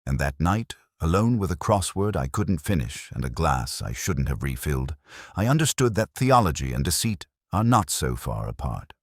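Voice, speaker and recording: A man playing a world-weary, erudite police inspector, narrating a mystery in brooding, literary, measured phrases. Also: rumbling low, very animated, no echo, studio quality, exaggerated voice. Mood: wry, somber. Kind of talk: scripted first-person mystery narration.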